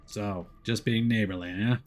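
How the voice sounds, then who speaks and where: A man voicing a gruff, weary paranormal detective, terse and dryly sardonic, for a story game. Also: on the low side, fairly even intonation, normal speed, soft background music, close to an everyday voice. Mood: questioning, dry. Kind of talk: visual novel character voice.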